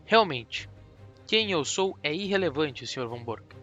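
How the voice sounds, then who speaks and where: A man playing a calm, ironic, self-assured detective in an audiobook. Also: fairly high pitch, unhurried, lo-fi sound, soft background music. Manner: dry, mocking, self-assured.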